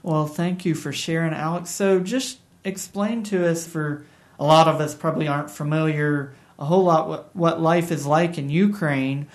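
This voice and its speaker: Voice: mid-range voice, steady tone. An interviewer prompting a guest with encouraging, conversational questions, sometimes searching for words. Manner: encouraging, conversational, somewhat halting.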